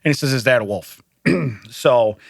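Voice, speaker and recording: A man's voice: medium pitch, normal speed, no echo. A man hosts a casual podcast and keeps breaking into laughter as he rambles through a story. His sentences often stall and restart. The sound is close and dry, with no room around it. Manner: laughs often, stumbles and restarts while telling stories. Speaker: podcast host. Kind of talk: casual comedic chat.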